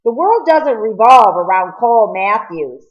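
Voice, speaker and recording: A woman's voice: mid-range voice, sing-song, measured pace, dull audio, pitched above the performer's own voice. A woman reading the part of a skeptical older man, a stern mentor in a storybook, in a firm manner. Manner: firm, challenging.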